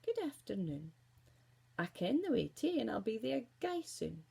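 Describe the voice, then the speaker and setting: Here is a woman acting a wee Scottish girl from a storybook. She is innocent, polite and chatty, with a high, lilting voice and artless, matter-of-fact delivery. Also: very animated, a little slow, gravelly, a little mellow, slightly rough, full character voice. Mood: politely firm.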